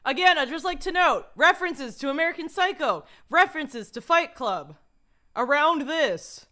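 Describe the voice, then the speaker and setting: A young woman streamer with a low voice, talking casually and rambling through asides while reacting to a video. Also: expressive, normal speed, bright, forceful tone. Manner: rambling, self-deprecating, laughs through asides.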